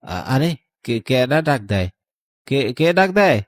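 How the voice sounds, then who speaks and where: A man's voice: mid-range voice, big pitch swings, slow, no room sound, clean studio sound. A man voicing a tipsy, cocky village man in a horror cartoon, rambling and suspicious, with a rural Bengali dialect. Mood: puzzled, cheeky.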